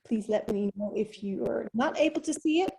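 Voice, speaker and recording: A woman's voice: fairly high pitch, expressive, a little mellow. Woman hosting an online meeting. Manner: friendly, plain and unhurried. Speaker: host. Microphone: video call.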